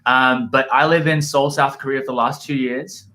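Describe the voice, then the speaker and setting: A man from Australia, a guest in a casual livestream chat, relaxed and chatty. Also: mid-range voice, moderate pace. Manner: relaxed, chatty, uses "like" a lot. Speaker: guest, entrepreneur. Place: café in Seoul.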